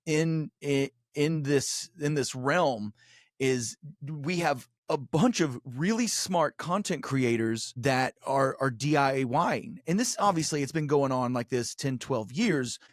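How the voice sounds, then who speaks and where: A man's voice: mid-range voice, animated, no room sound, studio quality. A man chatting casually as a podcast guest, rambling and often doubling back on his words. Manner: rambling, halting with repeated words, swears casually. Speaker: podcast guest and co-host of his own show. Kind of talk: casual conversation.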